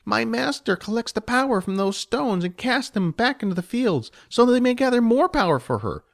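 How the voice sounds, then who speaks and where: Man doing a squeaky, fearful little goblin girl. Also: sing-song, moderate pace, gravelly, heavily acted. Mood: anxious. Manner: pleading, tearful, formal old-fashioned speech. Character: timid small female goblin servant, fearful. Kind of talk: audiobook character voice.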